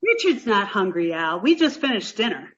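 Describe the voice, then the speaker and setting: A woman's voice: medium pitch, very animated, moderate pace, husky, lo-fi sound. A woman playing a naive, scatterbrained, cheerful young woman in an old-time radio comedy. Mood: breezy, content. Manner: guileless, earnest, scatterbrained.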